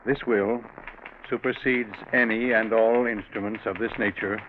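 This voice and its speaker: An actor playing a grave, secretive, middle-aged bank president, formal and deliberate with some hesitation, in 1940s radio drama style. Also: male voice, fairly low pitch, steady tone, lightly acted. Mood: firm, businesslike. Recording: noisy, narrow-band 1940s radio recording.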